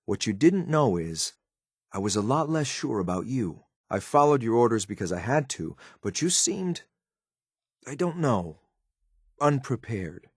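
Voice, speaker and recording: A man acting as a stoic, loyal but ruthless synthetic soldier in a video game, with a clipped, military, deadpan delivery. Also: mid-range voice, measured pace, breathy edge, no room sound, studio quality. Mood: earnest, restrained. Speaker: companion character in a video game. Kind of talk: scripted game voice lines.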